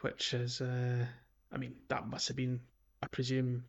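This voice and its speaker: A man hosting a podcast in a casual, chatty style, speaking in a slightly halting way. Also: medium pitch, completely flat delivery, creaky, muffled recording. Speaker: podcast host. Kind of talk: casual podcast chat about a video game.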